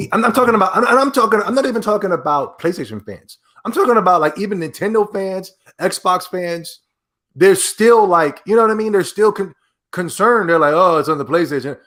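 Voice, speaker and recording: A man hosting a podcast in casual chat, rambling and excitable as he reacts to videos and reads donations. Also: sits high, fairly quick. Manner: rambling, loose and excitable, with many repeats. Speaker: podcast host.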